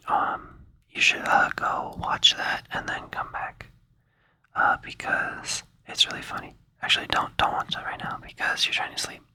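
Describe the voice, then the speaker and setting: A young man whispering in a rambling, playful ASMR style, with many fillers. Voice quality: soft whisper. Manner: rambling, playful, says "like" a lot. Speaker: ASMR creator.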